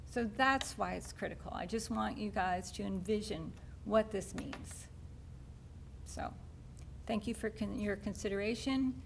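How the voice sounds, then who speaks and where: A middle-aged woman giving an earnest, measured public comment at a town meeting. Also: mid-range voice, gravelly, a little hiss or hum. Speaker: resident speaking at public comment.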